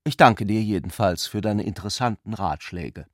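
A man's voice: lowish voice, normal speed, dry recording, studio quality, close to an everyday voice. A man voicing a small, stout army general who speaks formally and earnestly in a literary radio play. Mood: earnest, dignified.

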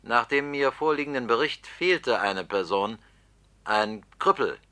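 An actor playing a scheming political opponent in a radio drama, talking bluntly and sarcastically. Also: male voice, mid-range voice, animated, a little slow, bright, forceful tone. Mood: pressing. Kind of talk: 1960s-70s radio drama acting.

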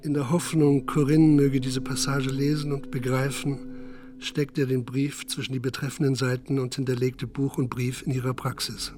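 An actor narrating a radio crime drama in a sombre, detached manner. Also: male voice, medium pitch, level tone, normal speed, over music, lightly acted. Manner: sober, detached. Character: sombre male third-person narrator of a crime story.

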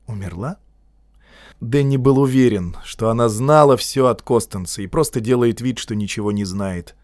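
A man narrating an audiobook in an even, measured reading voice. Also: mid-range voice, no room sound, clean studio sound. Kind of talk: scripted narration.

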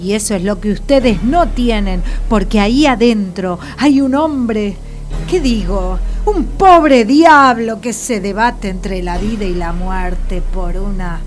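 An actress with an Argentine accent playing an indignant, righteous hospital doctor with a formal, preachy manner in a comic radio drama. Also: female voice, mid-range voice, takes it slowly, faint music behind, lightly acted. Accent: Argentine Spanish.

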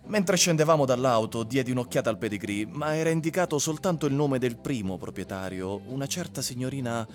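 An actor plays a wry husband narrating his own tale in a literary radio drama. His voice sits in a middle male range and moves in measured storytelling phrases. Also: medium pitch, lively intonation, faint music behind, natural voice. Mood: wry, reflective.

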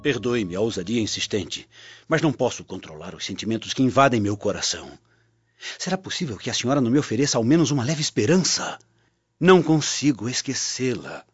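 An actor playing an ardent, insistent Roman prefect declaring himself floridly in a radio drama. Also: male voice, medium pitch, sing-song, a little fast, breathy edge, dry recording. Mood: ardent, desperate. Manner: florid, impassioned. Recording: dry, dull lo-fi audio.

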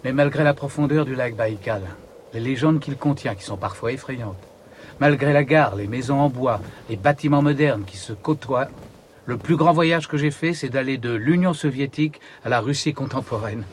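A man's voice: mid-range voice, normal speed, a little hiss or hum, lightly acted. Earnest, nostalgic man of the polar wastes acted. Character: nostalgic, idealistic Siberian-born male polar researcher. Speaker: actor in radio drama. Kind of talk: naturalistic French radio drama acting.

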